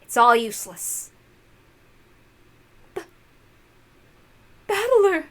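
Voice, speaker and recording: A woman's voice: very high-pitched, very animated, extremely slow, fairly bright tone, voice pushed up, exaggerated voice. A woman voicing a distraught, despairing visual novel character in a dramatic, exclaiming manner. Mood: anguished despair.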